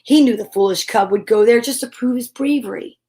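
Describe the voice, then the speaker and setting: A woman's voice: fairly high pitch, a little fast, dark tone. A woman hosting a story time, reading a children's tale dramatically with comic asides in a theatrical manner. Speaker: host and storyteller.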